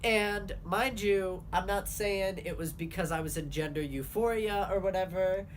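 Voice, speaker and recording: A young man hosting his vlog, talking candidly in a casual chat with quick asides. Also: sits high, slight background noise. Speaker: vlogger host.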